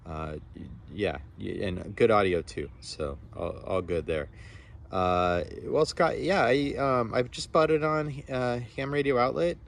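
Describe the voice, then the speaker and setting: A man talks in a low voice full of fry. He chats casually as a radio operator, wandering through technical detail. He is outdoors, with faint noise behind him. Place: outdoors on a front lawn.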